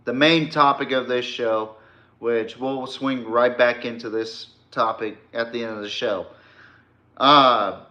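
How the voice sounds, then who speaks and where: A male podcast host with a bright, forceful voice at an ordinary male pitch. He takes his time, delivering casual, emphatic sports opinions to a live stream with the odd swear word. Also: highs cut off.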